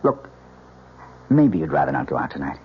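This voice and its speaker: An actor playing a breezy, affectionate young newlywed husband in an old-time radio mystery, teasing and casual with a slight stammer. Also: male voice, a bass voice, big pitch swings, moderate pace. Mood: easygoing. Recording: dull old radio recording, faint hiss.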